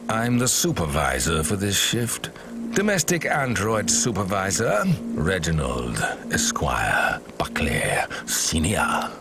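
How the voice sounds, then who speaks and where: An actor playing a self-important senior cleaning robot, officious and pompous, in a video game. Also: male voice, deep voice, very animated, takes it slowly, vocal fry, over music, heavily acted. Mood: officious, proud.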